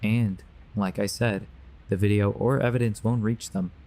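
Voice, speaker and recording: Low-pitched man, grave, earnest, urgent warning, audio drama actor playing a conspiracy believer. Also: steady tone, normal speed, a little mellow, close to an everyday voice.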